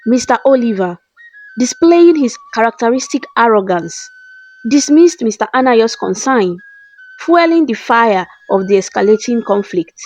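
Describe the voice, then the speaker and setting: A woman storyteller reading a scripted folktale in a dramatic, formal manner. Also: on the high side. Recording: slightly dull lo-fi voice-over. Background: soft background music with flute.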